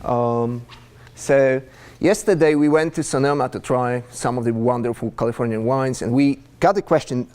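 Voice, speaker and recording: A man from Poland, mid-pitched, opens his talk at a speaker series. He speaks in an easy, relaxed way with a few light jokes and the odd filler. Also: normal speed, smooth. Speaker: startup investor. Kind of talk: conference presentation.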